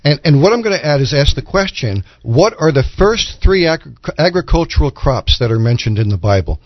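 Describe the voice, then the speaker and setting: A man speaking as a church elder and guest on a religious talk show, measured and teacherly. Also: fairly high pitch, very animated, no room sound. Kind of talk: religious talk radio discussion. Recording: dull lo-fi radio audio.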